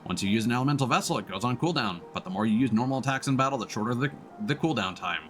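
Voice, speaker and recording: Man, lively varied pitch, casual game streamer, thinking aloud, music behind. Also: rapid-fire. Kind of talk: casual gaming commentary, reading on-screen text aloud.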